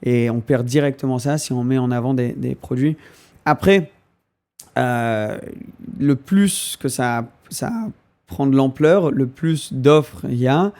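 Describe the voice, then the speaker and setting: A man's voice: mid-range voice, takes it slowly, darkish tone. A young man whose first language is English, a podcast guest who talks casually and hesitantly while he searches for French words.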